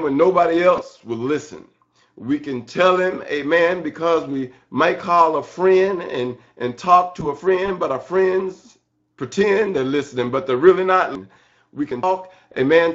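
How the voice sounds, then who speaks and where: A man preaching an excited church sermon in a halting way, repeating phrases and punctuating them with amen and hallelujah. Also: fairly high pitch. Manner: excited, fervent. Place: church service. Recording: dull, lo-fi sermon recording.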